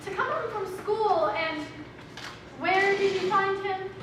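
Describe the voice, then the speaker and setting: A woman acting a gushing, excitable new mother in a stage comedy, theatrical and exclamatory, recorded in an echoey room. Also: extremely high pitch, slow pace, far from the mic, noisy background. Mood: prying, mock sympathy.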